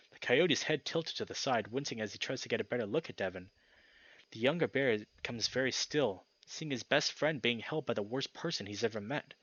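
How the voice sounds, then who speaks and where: A man's voice: mid-range voice, fairly bright tone, highs cut off. A young man reading a visual novel aloud as a dramatic story narration for a let's play. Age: young adult.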